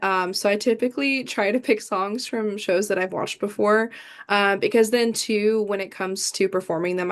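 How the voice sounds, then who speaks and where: Young midwest woman chatting in an interview, lots of ums and likes, middle pitch. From American Midwest. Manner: chatty, many "um" and "like" fillers, restarts. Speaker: idol performer, guest. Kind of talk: casual interview answers.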